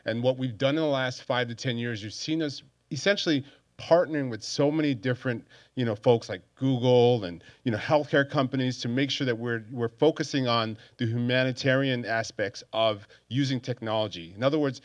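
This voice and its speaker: A friendly, conversational man in his thirties, born in Nigeria and raised in Hawaii, introduces himself on a panel. He holds a handheld mic and speaks at a middle pitch. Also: brisk. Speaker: panelist, tech professional. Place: stage at a large event space. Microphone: handheld microphone.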